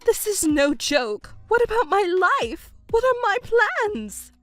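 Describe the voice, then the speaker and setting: A woman's voice: sits high, expressive, normal speed, faint music behind. A woman voicing a selfish, self-pitying middle-aged mother in a melodramatic story, wailing, indignant and pleading. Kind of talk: melodramatic scripted story dialogue.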